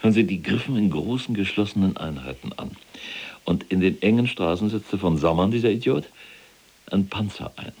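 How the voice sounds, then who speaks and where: An actor playing a proud, callous, imprisoned SS general recounting the war in a gravelly, husky, self-important military manner in a radio drama. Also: male voice, sits low, expressive, normal speed, darkish tone, full character voice. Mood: agitated, indignant. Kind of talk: dramatized first-person war recollection.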